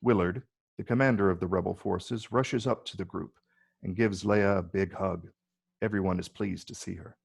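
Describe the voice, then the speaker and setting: Brief: male narrator for screenplay directions, low voice with husky grain, measured delivery. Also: steady tone. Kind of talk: scripted narration.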